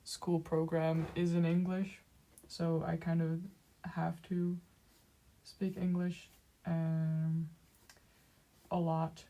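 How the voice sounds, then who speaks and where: Soft, slow-talking young woman with a Dutch accent. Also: deep voice, completely flat delivery, rough recording. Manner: soft-spoken, halting, many fillers and small laughs. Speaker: streamer. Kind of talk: casual chat while drawing on stream.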